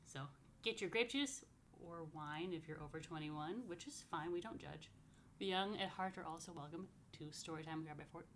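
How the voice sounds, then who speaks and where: A woman's voice: low-pitched, moderate pace, a bit bright, a little hiss or hum. A woman rabbi leading a casual story time for young children, explaining things simply and repetitively with chatty, playful asides. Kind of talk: casual, child-friendly religious talk with blessings. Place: apartment.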